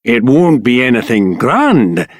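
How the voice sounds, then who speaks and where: An actor with a British accent playing a gruff, short-tempered mountain workman in a video game, blunt and conversational. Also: medium pitch, very animated, slow, no room sound, studio quality. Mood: sheepish. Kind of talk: video game character acting.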